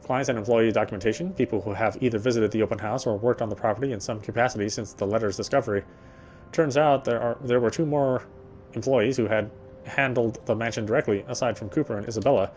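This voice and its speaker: A man's voice: mid-range voice, quick pace, a little mellow, a little hiss or hum, close to an everyday voice. An actor playing a weary male city detective, narrating in the first person with a wry inner voice.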